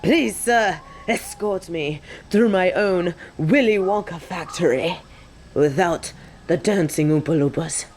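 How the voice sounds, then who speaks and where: A young woman playing an excitable, sassy biker and machine nerd on a date in a romantic audio roleplay. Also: takes it slowly, a little hiss or hum. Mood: sardonic, teasing. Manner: gushing and teasing, swinging between squeals and low deadpan. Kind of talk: romantic audio roleplay, scripted monologue to an unseen listener.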